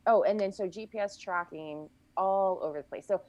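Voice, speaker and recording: A woman's voice: mid-range voice, moderate pace, darkish tone, muffled recording. A woman guest on a podcast, casually chatting and recounting events in long, detailed runs with frequent self-corrections.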